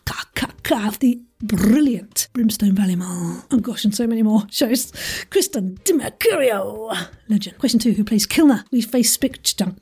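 A woman hosting a podcast quiz in a playful, chatty way, with self-deprecating asides and a husky voice. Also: on the high side, animated, normal speed, a little mellow.

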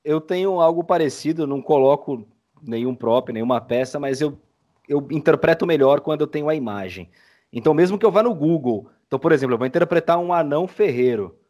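A man's voice: fairly high pitch, animated, fast, darkish tone, highs cut off. A Brazilian man talking casually as a guest in a podcast interview. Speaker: podcast guest, audio drama maker.

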